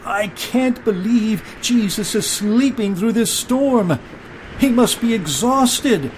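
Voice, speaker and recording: A man's voice: a little high, animated, normal speed, a little mellow, slight background noise, close to an everyday voice, rough recording. A man voicing a worried, considerate fisherman in a Bible story, speaking in an earnest, conversational way. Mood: amazed concern. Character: worried, considerate fisherman disciple talking with his crewmates.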